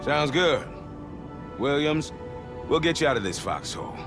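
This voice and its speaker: A man's voice: a little high, expressive, measured pace, over music. A man playing a cocky, loyal veteran sergeant major in a game, with brash, wry military banter. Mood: confident. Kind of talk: cinematic game dialogue.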